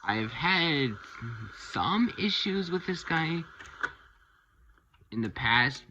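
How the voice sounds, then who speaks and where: A man giving an unscripted, casual video review of his hobby collection, rambling a little and pausing mid-sentence. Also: a little high, animated, sharp tone, lo-fi sound, a little hiss or hum. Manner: halting, rambling delivery with pauses mid-sentence.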